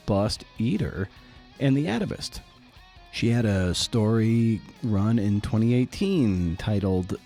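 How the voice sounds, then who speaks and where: Low-pitched man hosting a podcast. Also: mellow. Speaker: podcast host. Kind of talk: casual conversational podcast hosting.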